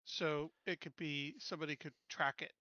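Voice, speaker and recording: A man's voice: on the high side, steady tone, normal speed, bright, forceful tone, no echo, highs cut off. A man playing a tabletop roleplaying game, talking casually in character at the table.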